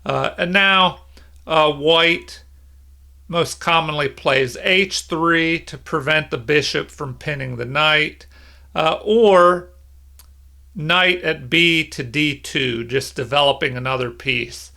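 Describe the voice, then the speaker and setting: A middle-aged man giving an unscripted tutorial as an instructor, explaining things in a measured way. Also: fairly high pitch, creaky, sharp tone. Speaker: chess instructor. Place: small room indoors at home.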